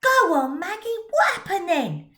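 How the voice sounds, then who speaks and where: A woman voicing a cheeky young boy from the docks in a storybook reading, blunt and excitable in rough street slang. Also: very high-pitched, measured pace, voice pushed up, full character voice. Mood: eager.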